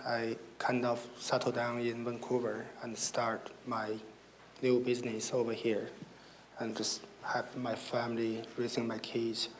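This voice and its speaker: A man from mainland China, a business owner and English speaker, talking haltingly on a panel. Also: mid-range voice, slight background noise, rough recording. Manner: halting, many uhs.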